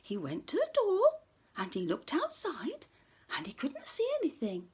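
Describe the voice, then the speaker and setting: A woman telling a children's story expressively, often dropping to a hush for suspense. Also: sits high, wildly swooping pitch, moderate pace, noisy background.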